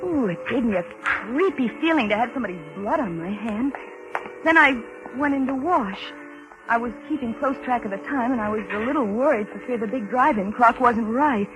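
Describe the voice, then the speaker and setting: An actress playing a weary, anxious young American car hop, confiding and wry, in 1940s radio suspense style. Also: female voice, a little high, expressive, normal speed, music in the background, close to an everyday voice. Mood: confiding, uneasy. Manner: wry, confiding, working-class plain talk. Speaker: narrator and lead character. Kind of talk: 1940s radio suspense acting, first-person narration. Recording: noisy old 1940s radio recording.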